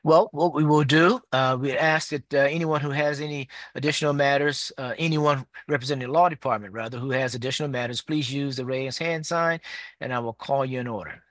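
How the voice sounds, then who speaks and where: A man leads a public appeals board meeting over a video call. He speaks in a measured, procedural way, at an ordinary pitch for a man. His voice is recorded dry and close, with no room echo. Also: a bit bright. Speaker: board chairman. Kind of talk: chairing a public hearing.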